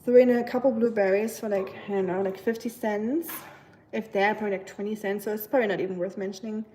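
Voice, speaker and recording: A woman's voice: medium pitch, fairly even intonation, quick pace, mellow, slight background noise, poor audio. A young woman from Germany with a German accent, chatting casually with livestream viewers while she cooks at home, giggling and cooing at her dog. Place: home kitchen.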